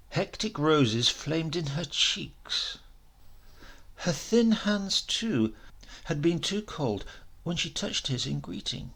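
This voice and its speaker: A man's voice: medium pitch, vocal fry, fairly bright tone, husky. A man narrating a horror story as a dramatic audiobook reading.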